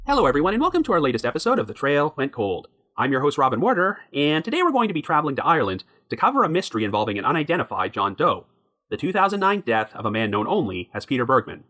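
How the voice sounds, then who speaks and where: A man hosting a podcast, narrating a true crime story from a prepared script in a measured way. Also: on the high side, huge pitch swings, sharp tone. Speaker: podcast host.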